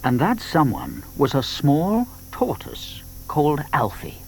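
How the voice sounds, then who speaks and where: A man narrating a dramatised children's story with expressive, measured phrasing. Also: fairly high pitch, a little hiss or hum, low-quality recording.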